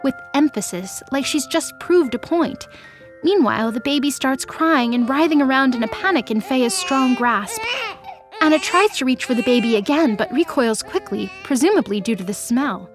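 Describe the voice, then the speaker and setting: Woman narrating an audio drama, voice a bit high, soft music under it. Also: animated, moderate pace. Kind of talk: scripted dramatic narration of a story.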